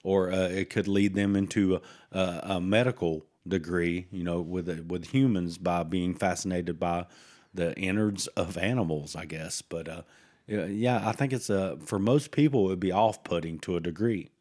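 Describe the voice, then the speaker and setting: A man with a fairly low voice co-hosting a true-crime podcast. He talks in a loose, rambling way, pausing often with filler words as he works through his thoughts. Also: fairly even intonation, darkish tone. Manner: rambling, hesitant, with many fillers. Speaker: podcast co-host.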